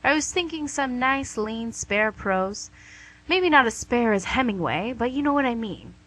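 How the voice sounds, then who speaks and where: A woman's voice: fairly high pitch, animated, unhurried, fairly bright tone, low-quality recording. A woman playing a smug, coaxing female devil in a comic radio play, with a theatrical, glib delivery. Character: smug, wheedling, image-conscious female devil called Lucy.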